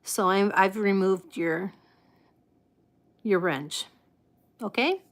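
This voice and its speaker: A woman from the United States hosting a casual live chat, with slightly impeded articulation and a halting, unhurried manner. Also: mid-range voice. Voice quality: slightly impeded articulation from a false tooth and past stroke. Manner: halting, unhurried, greeting viewers by name. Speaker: live stream host.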